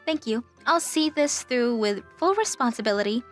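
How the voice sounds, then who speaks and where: A woman performs a young travel agent in a manga-style drama. She sounds kind and professional, courteous and composed with a gentle firmness. Soft music plays underneath. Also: a little high, animated, normal speed, natural voice. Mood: sincere. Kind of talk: anime-style manga dub acting.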